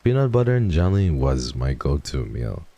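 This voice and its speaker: A man streaming a casual chat with viewers, swearing freely and jumping from low mumbles to excited high yelps. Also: normal speed, vocal fry, dry recording.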